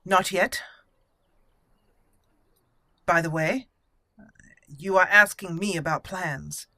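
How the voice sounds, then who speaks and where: A woman hosting a role-play game playthrough, speaking deliberately and theatrically in character, with casual asides. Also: lowish voice, bright, forceful tone, no echo. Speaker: gaming channel host.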